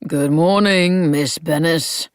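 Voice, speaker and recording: A woman's voice: sits low, fairly even intonation, normal speed, dry recording, clean studio sound, close to an everyday voice. A woman voicing a grumpy old male shop owner who speaks in a bored drawl. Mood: grumbling.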